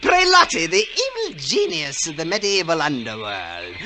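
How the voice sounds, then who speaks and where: An actor playing a mad, grandiose former stage actor, declaiming in a courtly theatrical manner in a 1940s radio drama. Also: male voice, fairly high pitch, huge pitch swings, normal speed, a crowd in the background. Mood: grandiose, deranged. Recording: vintage 1940s radio recording with music.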